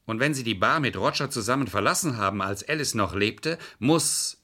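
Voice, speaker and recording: Husky, coolly calculating male lawyer. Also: medium pitch, expressive, moderate pace, sharp tone. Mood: coolly pressing. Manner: controlled, insistent, formally polite. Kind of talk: German crime radio play acting.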